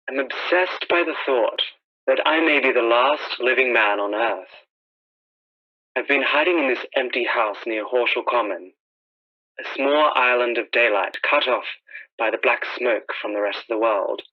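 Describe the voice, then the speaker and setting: A man's voice: mid-range voice, measured pace, close to an everyday voice. An actor playing a haunted, lonely professor who survived a disaster, narrating his journal as a brooding radio drama monologue. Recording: dull, lo-fi radio sound.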